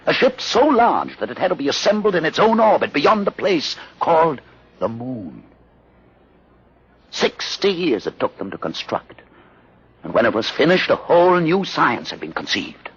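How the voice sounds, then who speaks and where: An actor playing a grave, learned leader of outcasts in a solemn, measured 1950s radio drama style. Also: male voice, mid-range voice, a bit bright, slightly rough, heavily acted. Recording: dull lo-fi old radio recording.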